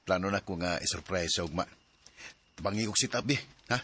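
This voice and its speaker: A cheerful young boyfriend, low bright voice, radio play. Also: male voice, a little fast, breathy edge, heavily acted. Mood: excited. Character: young man, easygoing boyfriend. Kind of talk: radio drama acting.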